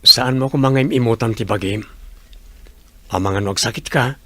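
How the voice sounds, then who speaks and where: Earnest young suitor man acting, medium pitch, Ilocano accent, dry radio drama. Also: normal speed, sharp tone, no room sound. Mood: tender. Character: devoted, generous young village suitor, Edward.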